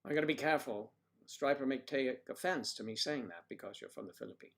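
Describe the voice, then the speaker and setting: A man's voice: mid-range voice, fast. A man hosting a casual livestream, reading viewers' comments aloud and joking with them by name in a rambling, chatty way. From United States. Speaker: livestream host reading chat.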